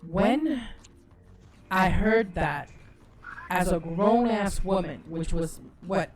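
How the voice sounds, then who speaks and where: A woman's voice: fairly low pitch, slow, faint music behind. A woman co-hosting a casual livestream talk, rambling and gushing with many restarts. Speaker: co-host.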